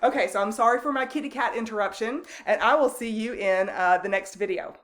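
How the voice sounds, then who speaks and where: A woman instructor giving a recorded online lecture, speaking loosely and conversationally with frequent ums and restarts and a loud voice. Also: on the high side, brisk. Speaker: college instructor.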